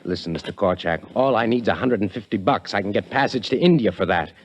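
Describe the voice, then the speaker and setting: An actor playing a desperate, obsessed young drifter in a 1950s radio drama, with an American accent and intense tough talk. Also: male voice, lowish voice, fast. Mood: urgent pleading. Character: desperate, obsessed young drifter turned killer, narrating his story. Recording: muffled 1950s radio recording with music.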